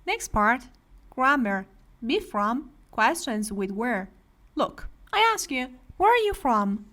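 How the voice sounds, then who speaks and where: A woman's voice: fairly high pitch, big pitch swings, takes it slowly, a bit bright. A woman with a Persian accent teaching English to adult beginners, patiently explaining grammar and asking students to repeat. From Iran. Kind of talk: classroom lesson for adult beginners.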